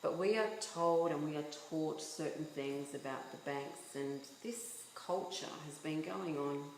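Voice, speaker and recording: A woman's voice: low-pitched, measured pace, reverberant, slight background noise, rough recording. A woman from Yugoslavia, a book author, talking earnestly as an interview guest and making her points with rhetorical questions. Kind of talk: casual interview chat.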